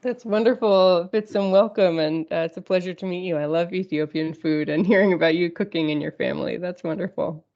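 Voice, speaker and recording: A woman's voice: lowish voice, a little fast, few pauses, darkish tone. A woman facilitator hosting a video call, giving friendly, encouraging replies to participants. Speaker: course facilitator. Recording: dull lo-fi call audio.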